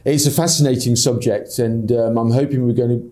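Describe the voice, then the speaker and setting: A man's voice: mid-range voice, fairly even intonation, a little fast, warm tone. A man hosting a podcast interview, chatting in a friendly way and encouraging his guest. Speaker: podcast host.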